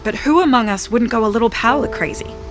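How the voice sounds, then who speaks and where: A woman's voice: on the high side, quick pace, close to an everyday voice. A woman playing a wise, self-assured talking poodle prophet in a comedic audio drama, speaking with grand, kindly earnestness. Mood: earnest storytelling. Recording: music behind.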